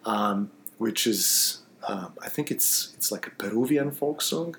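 A man from Croatia, a guitarist guest on a podcast, speaking casually in a halting way with many restarts and fillers. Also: on the low side, expressive, a bit bright. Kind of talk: casual interview. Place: busy festival venue, stone hall.

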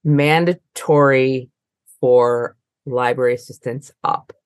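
A woman's voice: rumbling low, steady tone, slow pace, clear, resonant tone, no room sound. A woman answering informally as a librarian guest in an interview, circling through her explanations with many false starts.

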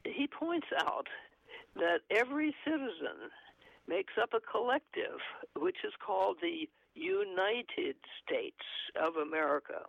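Gravelly man on a muffled line. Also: sits high, big pitch swings, dry recording. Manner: halting, frequent fillers, earnest. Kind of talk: conversational commentary, reading aloud from an article.

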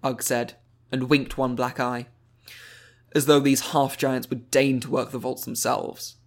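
A man's voice: mid-range voice, flat delivery, measured pace. A man reading scripted fiction aloud as an audiobook narrator.

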